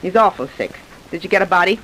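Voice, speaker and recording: An actress playing a pushy, coaxing accomplice in an old-time radio crime drama, with dramatic delivery. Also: female voice, lowish voice, lively intonation, quick pace, a bit bright. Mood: anxious. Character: scolding, coaxing female accomplice in insurance fraud. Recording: crackly vintage radio recording.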